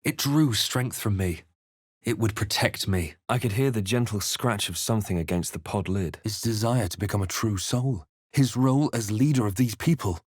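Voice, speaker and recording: An actor playing a brooding male fantasy adventurer, voicing video game lines in a hushed, deliberate, theatrical way. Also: fairly low pitch, husky, no room sound, clean studio sound. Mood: wary, intent. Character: brooding male fantasy adventurer narrating his own quest.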